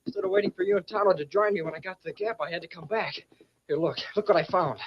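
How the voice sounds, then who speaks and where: An eager teen cowboy kid, highish excitable voice, old muffled noisy radio western. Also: male voice, fast, vocal fry, full character voice. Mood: earnest, worried. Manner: excitable, urgent. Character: eager, earnest fourteen-year-old cowboy boy. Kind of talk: old-time western radio drama acting.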